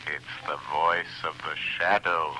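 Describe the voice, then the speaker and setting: An actor playing a mysterious, invisible male avenger who speaks in a slow, ominous, deliberate way in a 1930s radio drama. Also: a bass voice, steady tone, pushed and bright, a little hiss or hum, heavily acted, poor audio.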